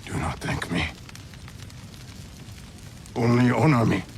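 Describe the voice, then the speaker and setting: Proud fallen feudal warlord for a game cutscene: gravelly, slow, solemn, widely swinging pitch. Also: male voice, animated, background noise. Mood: resigned, grave. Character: proud, defeated middle-aged Japanese warlord facing death. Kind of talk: dramatic video game cutscene acting.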